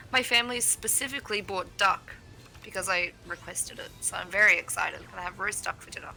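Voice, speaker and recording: A woman's voice: mid-range voice, animated, moderate pace, creaky, sharp tone, rough recording. A young woman born in England with an Australian accent, co-casting a card game stream in playful, teasing casual chat. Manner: playful, laughs often, teasing. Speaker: co-caster.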